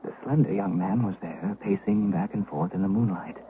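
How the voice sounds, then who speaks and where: A man's voice: sits low, normal speed, lightly acted. An actor playing a humble, fearful shepherd who tells his tale with dramatic suspense in an old-time radio drama. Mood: fearful, agitated. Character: fearful humble shepherd recounting what he witnessed. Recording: hissy, muffled old radio broadcast.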